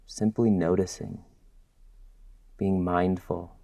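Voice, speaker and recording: A man guiding a body scan meditation in a gentle, calm, unhurried manner with long pauses between phrases. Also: low voice, dry recording. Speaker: meditation guide.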